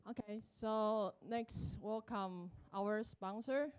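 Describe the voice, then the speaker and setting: A woman hosting an event, announcing speakers in a brisk, bright way. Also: medium pitch, clean tone. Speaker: master of ceremonies. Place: university auditorium.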